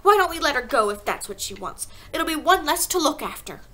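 Woman acting a brisk, high-pitched, indifferent mother. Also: big pitch swings, a bit bright.

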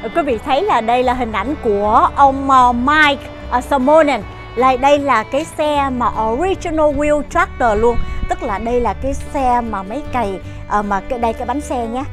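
A chatty middle-aged woman with a fairly high voice guides viewers on a walking tour, pausing often on fillers as she points things out. She is recorded outdoors and in a shop, with music playing clearly behind her. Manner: chatty, many "ờ" fillers, addresses viewers as "quý vị". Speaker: travel show host. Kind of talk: walking tour narration to camera. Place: outdoors at a farm market and inside its shop.

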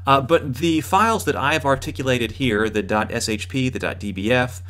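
A man in his thirties giving an explanatory lecture as a course instructor, with a hesitant, halting delivery and emphatic repetition. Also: mid-range voice, a bit bright.

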